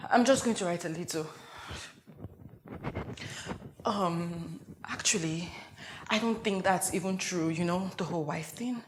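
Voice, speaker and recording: A woman's voice: on the low side, slight background noise, close to an everyday voice. A woman performing a dramatic comedic monologue as a frazzled young wife and mother who rambles and interrupts herself in a meltdown. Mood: flustered, subdued. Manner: rambling, flustered, self-interrupting. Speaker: actor performing an audition monologue.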